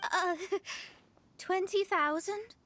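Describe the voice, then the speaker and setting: A woman's voice: extremely high pitch, extremely slow, bright, forceful tone, faint music behind, heavily acted. A woman playing a proud, self-important young astrologer mage in a video game, speaking formally and precisely. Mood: flustered. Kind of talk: video game character acting.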